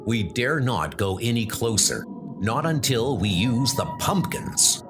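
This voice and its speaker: A man's voice: medium pitch, expressive, a little slow, music in the background, natural voice. A man playing an earnest, commanding heroic leader with urgent, declamatory delivery in a comic-book audio drama. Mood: cautious. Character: earnest, commanding heroic male leader of post-war knights.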